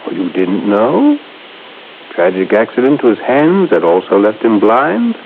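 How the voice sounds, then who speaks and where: An actor playing a courteous, easily puzzled violin dealer in a vintage radio drama, with a polite, fussy manner. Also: male voice, on the low side, huge pitch swings, unhurried, full character voice. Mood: informative, courteous. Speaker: music shop dealer. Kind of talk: 1940s-50s radio drama acting. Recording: tinny, hissy old radio recording.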